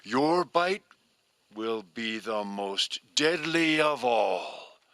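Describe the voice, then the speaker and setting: An older male storyteller voicing a calm, kindly male sky god who speaks in slow, measured words. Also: mid-range voice, no echo, full character voice. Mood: solemn.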